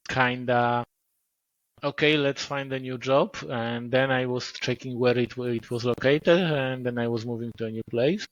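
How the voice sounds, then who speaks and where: A man, a guest author speaking in a measured way, often pausing to rephrase. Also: medium pitch, dry recording, muffled recording. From Poland. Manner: measured, pauses and rephrases mid-sentence. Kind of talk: casual podcast interview.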